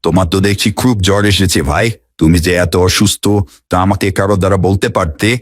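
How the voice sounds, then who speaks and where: Man acting deep-voiced, kindly village imam. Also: steady tone, noisy background, lightly acted. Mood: caring. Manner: polite, measured, caring. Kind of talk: Islamic moral cartoon dialogue.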